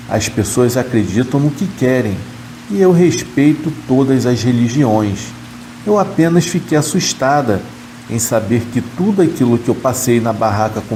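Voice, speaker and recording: Calm man narrating horror over rain. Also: mid-range voice, poor audio. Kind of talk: scripted narration of horror stories. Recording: faint rain and hiss behind the voice. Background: rain sounds.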